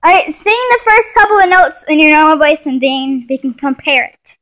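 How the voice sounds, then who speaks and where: Very high, bright-voiced young woman. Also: animated, moderate pace, rough recording. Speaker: person filming and commenting. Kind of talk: casual playful home-video chat. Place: living room at home, indoors. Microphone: phone held by the speaker.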